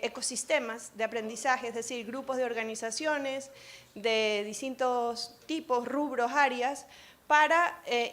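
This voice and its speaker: Ecuadorian woman with a Chilean lilt, high voice. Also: a bit bright. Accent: Ecuadorian with a Chilean tinge. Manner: engages the audience with questions, conversational. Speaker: session host and speaker. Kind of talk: conference masterclass talk. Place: conference room.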